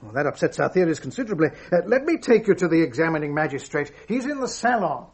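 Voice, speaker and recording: Quick, excitable police inspector. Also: male voice, on the high side, slightly rough, heavily acted. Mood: excited, flustered. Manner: hurried, eager, formal. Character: fussy, excitable French male police inspector. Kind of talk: radio drama acting.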